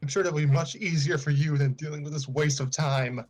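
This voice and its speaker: A man's voice: fairly high pitch, fairly even intonation, fairly quick, faint music behind, voice pushed up, lightly acted. A man voicing an overworked, defensive young woman in a dramatic story reading, curt and dismissive. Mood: bitter, challenging. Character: Erica, evasive, defensive, overworked young woman hiding her feelings.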